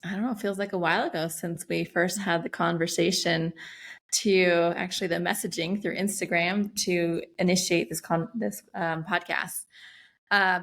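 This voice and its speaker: American woman cohost, lowish voice, halting and reflective, restarts a lot. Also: gravelly, fairly bright tone. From United States. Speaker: podcast co-host. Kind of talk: casual podcast chat.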